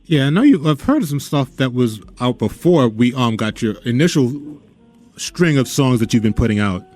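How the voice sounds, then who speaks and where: A man of ordinary pitch hosts a live radio interview, halting and restarting as he works toward his questions. Faint music plays under him. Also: very animated, darkish tone. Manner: hesitant, halting with fillers. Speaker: radio host.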